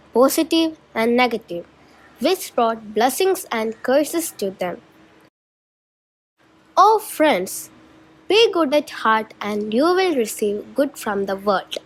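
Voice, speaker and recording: A woman's voice: fairly high pitch, big pitch swings, takes it slowly. A woman reading scripted storybook narration for a shadow puppet show. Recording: noisy amateur recording.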